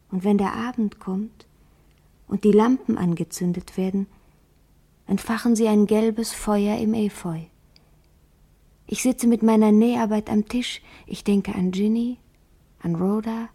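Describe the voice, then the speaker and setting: A mellow, middle-pitched young country woman in a radio drama. She is dreamy, earthy and solitary, speaking in hushed, lyrical reflection. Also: creaky, slightly rough. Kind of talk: literary radio-play monologue.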